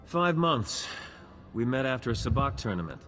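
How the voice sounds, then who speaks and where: A man's voice: mid-range voice, big pitch swings, moderate pace, bright, forceful tone. A man playing a meek, lovesick jilted gambler in a video game, hesitant, stammering and earnest. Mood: pleading. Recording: long reverb, music behind.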